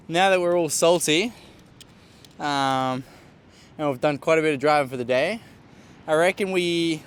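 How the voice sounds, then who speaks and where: A young Australian man with an easygoing, chatty manner, vlogging his travels and talking to his dog and viewers. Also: on the high side. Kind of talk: casual travel vlog. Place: outdoors on a beach and in town. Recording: outdoor camera audio with loud background noise.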